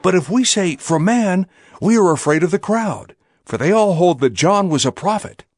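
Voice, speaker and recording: A man's voice: sits high, animated, normal speed, no room sound, clean studio sound. An actor playing a challenging, scheming male temple elder in an audio drama, with a pointed, wary manner.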